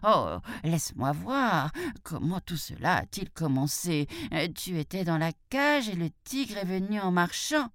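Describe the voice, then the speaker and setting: A woman's voice: fairly low pitch, big pitch swings, measured pace, dry recording, clean studio sound, voice pushed up. A woman voicing a sly jackal who feigns muddled stupidity, stammering and hesitant, in a storybook tale. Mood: bewildered lament.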